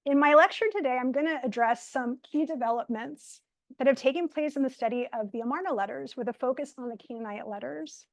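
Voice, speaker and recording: A woman with a slightly high, soft voice projects it across a lecture room. She speaks with enthusiasm and scattered ums as she lectures. The sound is dry and close. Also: a little fast, dry recording. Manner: enthusiastic, with fillers. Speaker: guest lecturer. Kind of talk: academic lecture. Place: lecture room, in person and streamed online.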